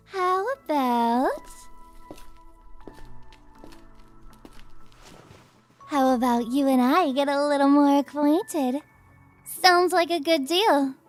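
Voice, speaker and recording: A playful young masked trickster girl for an ASMR audio roleplay. Her voice is extremely high and she takes her time, stretching phrases with coy, mock-innocent taunts. Faint music plays behind her. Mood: sly, coaxing. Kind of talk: ASMR audio roleplay, scripted character acting.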